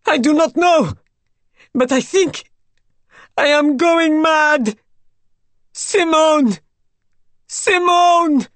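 A woman's voice: medium pitch, expressive, normal speed, hardly any pauses, mellow, breathy edge, dry recording. A woman voicing a horrified man on the edge of madness, in anguished exclamations, for a dramatic story reading. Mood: maddened panic. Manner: anguished exclamations, rising toward screams. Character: horrified young man, frantic and near madness.

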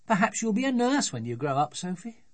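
Woman playing a brisk, teasing mum, lively pitch. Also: dry recording. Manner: teasing, practical. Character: brisk, teasing mother, drily amused. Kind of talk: children's storybook character voice.

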